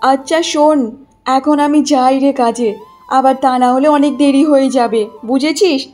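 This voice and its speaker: A woman's voice: high voice, fairly even intonation, normal speed, a little mellow, faint music behind, lightly acted. A woman voicing a poor, hardworking middle-aged village mother in a cartoon story, fussing and self-sacrificing. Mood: reassuring, insistent. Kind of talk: Bengali cartoon story dialogue.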